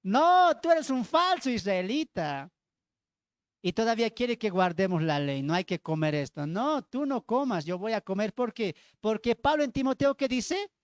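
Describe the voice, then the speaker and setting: Male evangelical preacher, Bolivian accent, high pitch, emphatic teaching style full of questions, dry sound. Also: big pitch swings, moderate pace, smooth, no echo. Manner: emphatic, repeats phrases, asks rhetorical questions. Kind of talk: sermon with Bible teaching.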